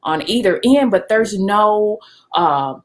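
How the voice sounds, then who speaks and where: A woman's voice: medium pitch, animated, takes it slowly. A middle-aged woman talking earnestly with her husband about family and communication, with frequent fillers. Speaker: wife co-host. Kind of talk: casual conversation.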